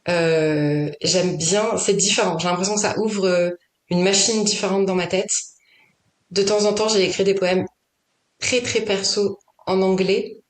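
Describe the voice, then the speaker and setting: A woman's voice: mid-range voice, fairly even intonation, measured pace, reverberant. A woman poet chatting as a podcast guest, thinking aloud with many fillers and restarts. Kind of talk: casual conversation.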